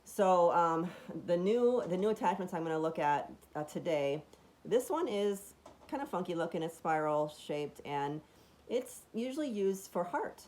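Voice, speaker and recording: A woman with a raspy, deeper voice chatting casually at home as she demonstrates a device, rambling enthusiastically with many fillers and restarts. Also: lively intonation, unhurried, darkish tone.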